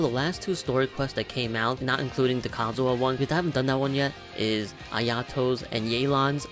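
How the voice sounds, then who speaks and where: A man giving informal, conversational opinion commentary as the host of a gaming video. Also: medium pitch.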